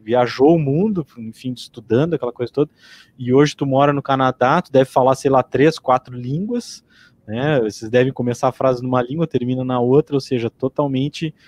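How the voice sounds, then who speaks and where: A man's voice: medium pitch, normal speed, darkish tone. A man hosting a casual podcast interview, feeling his way through rambling, tentative questions. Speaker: podcast host.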